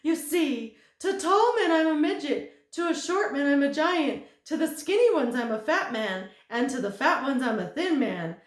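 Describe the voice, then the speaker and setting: A woman voicing a fussy, forgetful ordinary man who holds four jobs at once, in a high, loud and excitable storybook voice. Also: normal speed, few pauses, echoey room. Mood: confiding.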